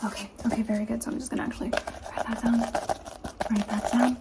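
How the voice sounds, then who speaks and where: A woman whispering softly through an ASMR roleplay, asking slow, repeated questions close to the camera mic. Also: on the high side, flat delivery. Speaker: ASMR creator.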